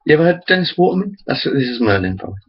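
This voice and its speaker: A man's voice: medium pitch, big pitch swings, very fast, breathy edge, muffled recording. A man in his forties rambling chattily to his webcam, interrupting himself often. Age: 40s. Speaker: vlogger. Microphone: built-in computer camera mic.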